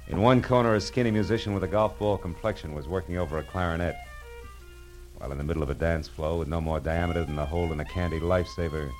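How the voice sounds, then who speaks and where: A man's voice: a bass voice, low-quality recording. An actor playing a wry, wisecracking male private detective in an old radio detective drama. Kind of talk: 1940s radio detective drama acting, with narration.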